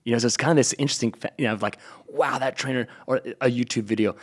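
A man's voice: mid-range voice, racing, slightly rough. A man co-hosting a casual podcast, agreeing often and adding his own thoughts. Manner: agreeable, frequent short affirmations. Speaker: podcast co-host.

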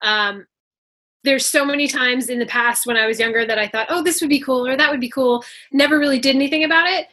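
A woman's voice: high voice, quick pace, sharp tone. A young adult woman giving casual answers as a podcast guest. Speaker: podcast guest, physical therapy student.

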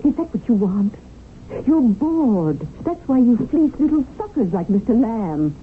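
An actress playing a poised, scheming, seductive widow in a 1970s radio drama, with a self-assured, insistent manner. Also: female voice, fairly high pitch, big pitch swings, a little slow. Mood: seductive, urging. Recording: tinny, noisy old radio broadcast.

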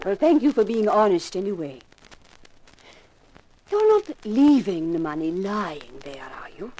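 A mysterious woman client in a 1950s radio mystery. She sounds cool and commanding, with formal, measured phrasing in a medium-pitched voice. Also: very animated, dark tone, low-quality recording. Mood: imperious. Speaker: actress in a detective radio drama. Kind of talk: 1950s radio drama acting.

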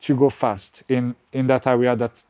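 A man from France with a French accent, speaking in a podcast interview as a real estate agent, pausing often and restarting his sentences. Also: medium pitch, flat delivery, dark tone, smooth. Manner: halting, many restarts and fillers. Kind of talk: conversational interview.

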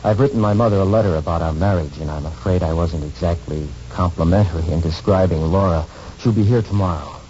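An actor playing an earnest, insistent young husband and son in a 1940s radio drama, with emotional delivery. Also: male voice, sits low, quick pace, hardly any pauses, warm tone, natural voice. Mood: awkward, subdued. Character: intense, proud young husband and devoted son. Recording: muffled, noisy 1940s radio recording.

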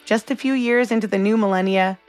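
A woman delivers scripted documentary narration as the host of a podcast. Her voice sits at a middle pitch for a woman, with soft music underneath. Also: a little fast.

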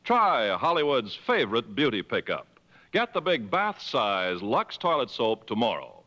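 A man announcing on a 1950s radio show with polished, emphatic salesmanship. His voice is bright and forceful and moves up and down in pitch, with a long reverb on the old broadcast.

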